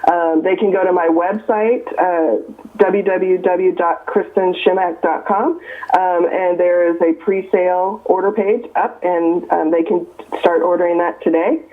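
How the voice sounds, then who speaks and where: A woman's voice: lowish voice, steady tone, a little slow. Midwest woman in her 50s, author guest, lots of ums, sounds like a phone call. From American Midwest. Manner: earnest, many ums and restarts. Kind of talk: casual interview over the phone. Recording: tinny phone line.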